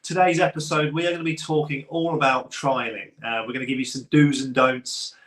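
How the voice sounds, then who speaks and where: A man's voice: medium pitch, steady tone, fairly quick, a bit bright. Chatty man in a roomy space. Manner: chatty, loose, laughs often. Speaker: podcast co-host. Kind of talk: casual podcast chat. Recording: roomy echo.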